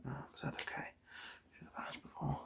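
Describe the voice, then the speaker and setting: A soft-spoken, often whispering person doing an ASMR eye exam roleplay, chatting gently and politely without hurry, close to the microphone. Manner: gentle, unhurried, polite chatty bedside patter. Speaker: ASMR performer playing an eye doctor.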